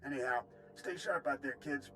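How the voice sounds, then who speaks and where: An actor playing a breezy, folksy military gunnery officer in a video game, rattling off technical jargon. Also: male voice, mid-range voice, normal speed, bright, forceful tone, natural voice, rough recording. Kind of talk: video game cutscene acting. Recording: game soundtrack music behind.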